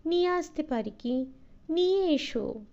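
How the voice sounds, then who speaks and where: A woman's voice: extremely high pitch, lively intonation, unhurried, dark tone, lo-fi sound, pitched above the performer's own voice, exaggerated voice. A woman voicing a talkative middle-aged wife who complains frankly and openly. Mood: eager.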